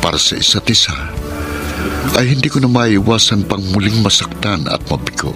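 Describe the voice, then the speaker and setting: Male actor as earnest lovelorn young Filipino, deep and dramatic, radio serial with clear music behind. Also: lively intonation, normal speed, lightly acted. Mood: heartbroken, brooding. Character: earnest, heartbroken but caring young man in love.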